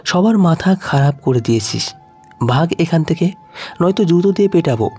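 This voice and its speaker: A man's voice: on the high side, animated, moderate pace, mellow, faint music behind. A man voicing a rude, threatening salesman in a story, scolding with angry contempt.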